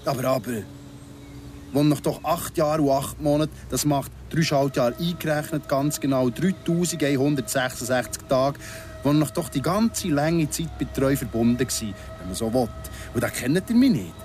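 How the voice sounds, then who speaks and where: A man's voice: medium pitch, animated, normal speed, a little mellow, faint music behind, close to an everyday voice. An actor playing a needling, falsely courteous ex-convict in his thirties in a radio drama, speaking with a Swiss German accent, mock-polite and insistent. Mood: mocking, bitter. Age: 30s.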